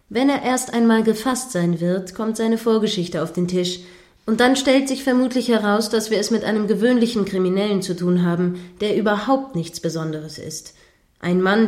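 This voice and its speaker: A woman's voice: medium pitch, a little mellow, close to an everyday voice. A woman playing a prim, combative radio news anchor in a radio play, speaking formally with pointed, sceptical irritation. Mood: exasperated. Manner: stern, pointed, formally articulate.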